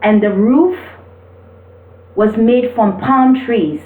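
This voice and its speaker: A woman from Cameroon gives an earnest, encouraging motivational talk with personal storytelling, speaking deliberately as the host of a video. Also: on the high side. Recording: roomy, distant mic, thin phone-like sound.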